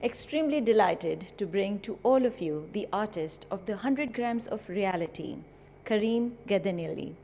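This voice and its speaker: A woman's voice: mid-range voice, lively intonation, normal speed, creaky, over music. A woman hosting a TV interview, enthusiastic and asking questions with some "uh" and "um" fillers. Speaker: TV show host. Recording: reverberant hall with faint ambience.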